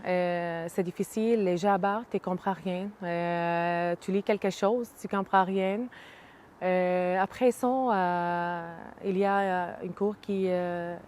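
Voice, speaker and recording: A Syrian woman in her thirties whose first language is Arabic, speaking hesitantly with many pauses and fillers in an interview. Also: on the low side, fairly even intonation, darkish tone, a little hiss or hum. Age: 30s. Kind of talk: casual interview.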